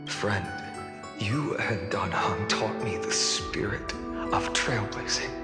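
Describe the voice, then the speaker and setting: A solemn, low-voiced young lord in echo. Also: male voice. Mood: earnest, apologetic. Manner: formal, earnest, measured. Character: noble young lord, resolute, gravely apologetic. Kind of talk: dramatic video game dialogue. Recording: echoey long reverb over clear music.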